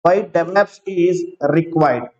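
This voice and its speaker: A man teaching an online class, talking slowly, repeating each phrase and checking that students follow. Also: a little high, darkish tone. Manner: slow, repeats each phrase several times; asks students to confirm they follow. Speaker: IT trainer. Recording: roomy, dull lo-fi online class audio.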